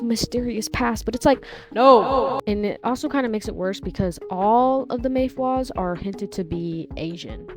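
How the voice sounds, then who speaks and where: A woman's voice: on the high side, a little mellow. A woman giving casual, chatty video commentary, informal and opinionated with sarcastic asides. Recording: faint music behind voice.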